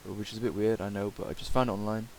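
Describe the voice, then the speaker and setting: A man giving a casual, improvised software tutorial in a hesitant, rambling way. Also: on the low side, flat delivery, racing, vocal fry. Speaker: tutorial presenter. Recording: hissy home microphone recording.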